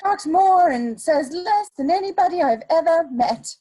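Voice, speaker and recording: A woman playing a sly, worldly Victorian society lady, arch and smoothly polite, in a dramatic comedy reading. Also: extremely high pitch, animated, unhurried, dark tone, low-quality recording.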